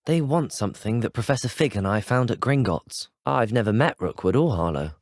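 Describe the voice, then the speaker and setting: Teenage male wizard student, British accent, well-spoken, inquisitive, polite, animated melody, game dialogue, dry close sound. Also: mid-range voice, brisk, dry recording. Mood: curious, casual. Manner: courteous, earnest, well-spoken. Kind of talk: scripted video game dialogue lines. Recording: dry, close studio voice recording.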